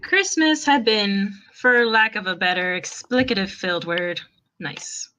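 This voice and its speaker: A woman's voice: medium pitch, a little slow, pushed and bright, slight background noise. A woman reading fan fiction aloud as an amateur narrator, in a wry, informal storytelling manner.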